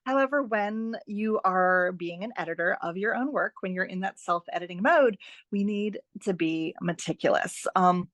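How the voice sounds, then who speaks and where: Female podcast guest, mid-pitched, emphatic and encouraging, explains practical tips with repeated phrases; very dry, close sound. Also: moderate pace, a bit bright, dry recording, highs cut off. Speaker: guest author and fiction editor. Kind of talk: podcast interview, giving practical advice.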